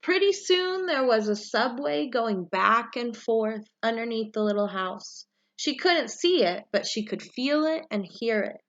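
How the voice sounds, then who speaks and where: A woman reading a children's picture book aloud, with expressive, deliberate storytelling phrasing. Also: on the high side, muffled recording. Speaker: story reader, read-aloud for young children.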